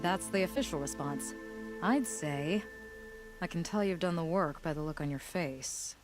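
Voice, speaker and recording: A woman's voice: sits low, lively intonation, moderate pace. An actress playing a stern, dry female warrior in a video game. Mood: dry respect. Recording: music behind the voice.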